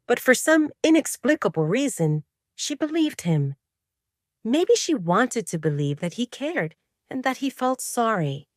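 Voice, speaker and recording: A woman narrating scripted romance fiction in an expressive, dramatic storytelling manner. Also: fairly low pitch, no echo, studio quality.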